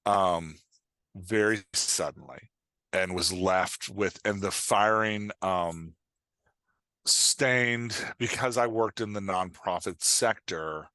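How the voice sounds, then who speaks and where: A man's voice: deep voice, slow, pushed and bright, no echo. A middle-aged man speaking candidly and conversationally as an interview guest, self-deprecating and acting out imagined remarks. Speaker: podcast guest, fiction writer and dialogue coach.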